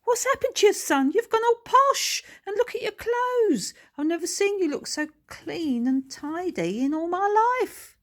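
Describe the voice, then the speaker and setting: A doting working-class mother, performed by a woman reader, high and swooping in pitch. She fusses and soothes in colloquial speech, as in a children's storybook. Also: expressive, unhurried, hardly any pauses. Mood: puzzled.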